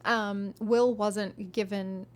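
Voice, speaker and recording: A woman's voice: medium pitch, steady tone, drawn-out words. A woman streaming live, reading a fantasy novel aloud and chatting with viewers in a friendly, rambling way with frequent ums.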